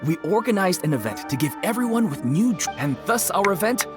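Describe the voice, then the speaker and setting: Polite, animated fantasy event organizer. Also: female voice, lowish voice, fairly quick. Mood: welcoming. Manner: courteous, formal, enthusiastic. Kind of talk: English video game voice acting. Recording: music playing behind the voice.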